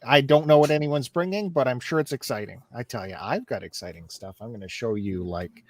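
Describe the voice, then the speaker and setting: A man's voice: medium pitch, brisk. A man hosting a casual live-stream chat, upbeat and teasing what is coming up on the show. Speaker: host of a collectors' live chat.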